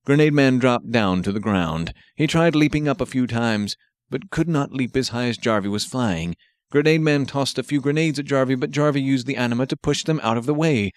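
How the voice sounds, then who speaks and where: A man's voice: medium pitch, moderate pace, no room sound, clean studio sound. A man narrating an action story as a scripted audiobook reading.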